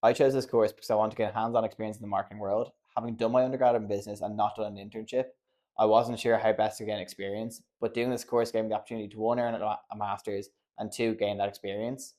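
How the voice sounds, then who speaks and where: A man in his early twenties, a graduate student, speaking briskly and assuredly about his course. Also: fairly low pitch, flat delivery, darkish tone. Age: 20s. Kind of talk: student testimonial.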